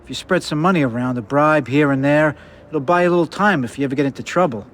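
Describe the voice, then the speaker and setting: Actor as fairly high-voiced, glum mobster. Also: male voice, fast talker, close to an everyday voice. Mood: subdued. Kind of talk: video game cutscene acting.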